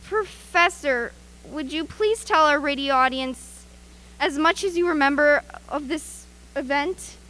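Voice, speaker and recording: A woman playing an eager, brisk radio field reporter in a live news report from a radio play. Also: high voice, sharp tone, rough recording. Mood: impatient, polite.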